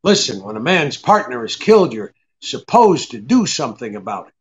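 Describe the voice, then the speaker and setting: An actor playing a cynical, unyielding private detective in a 1940s-style radio drama, with terse, halting tough talk. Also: male voice, medium pitch, very animated, dull audio, a little hiss or hum, lightly acted. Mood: grimly resolved.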